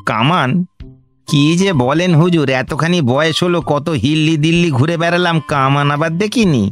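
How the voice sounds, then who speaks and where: A man plays an obsequious middle-aged village moneylender in a radio comedy, speaking Bengali with a West Bengal accent. His slightly high voice pleads meekly and hesitates as he humbly explains himself, over a music bed. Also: normal speed, lightly acted. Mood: earnest. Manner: deferential, meek, hesitant pleading. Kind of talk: radio play acting.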